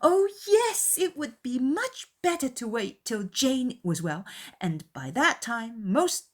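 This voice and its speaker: Woman doing bold teen girl for an audiobook, high voice, bubbly and pushy, sure of herself. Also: very animated, takes it slowly, heavily acted. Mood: eager, insistent. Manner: eager, insistent. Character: bold, high-spirited fifteen-year-old girl, self-assured. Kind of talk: dramatized audiobook character voice.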